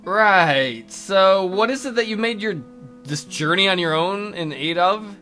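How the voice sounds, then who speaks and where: Visual novel tutor, acted by a man: awkward, exasperated, highish and forceful, pleading his case. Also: a little slow, soft background music. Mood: weary, skeptical.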